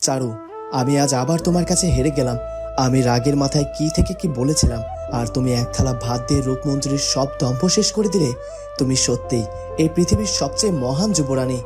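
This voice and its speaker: A devoted young fairy-tale prince, voiced by a man, speaks earnestly at an average male pitch. Music plays clearly behind him. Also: fairly quick, natural voice. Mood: admiring, contrite. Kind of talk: cartoon fairy-tale dialogue.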